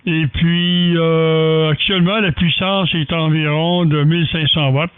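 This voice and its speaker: A man's voice: on the high side, flat delivery, unhurried, smooth, dry recording. A man with a Quebec French accent, talking as an amateur radio operator over the air, hesitating often between phrases. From Quebec, Canada. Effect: amateur radio transmission. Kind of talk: ham radio contact, technical casual talk. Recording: dry, narrow radio-band signal.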